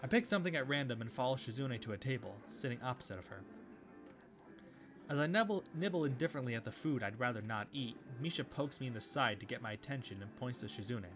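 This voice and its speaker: A man reading a visual novel aloud, with relaxed commentary and casual asides. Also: mid-range voice, fast talker, music in the background. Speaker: video game let's player.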